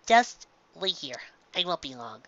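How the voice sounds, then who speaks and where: A narrator voicing a gentle, easily confused pegasus mother who stammers and repeats words in a storybook reading. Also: medium pitch, big pitch swings, measured pace, dry recording, dull audio, voice pushed up, full character voice.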